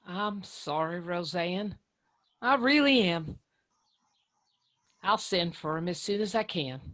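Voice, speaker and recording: A forceful, middle-aged mother in a radio drama. She speaks slowly and plainly, with a sharp edge to her scolding and care underneath it. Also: fairly low pitch, lively intonation. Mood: sorry. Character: stern, plain-spoken mountain mother, middle-aged, scolding but caring.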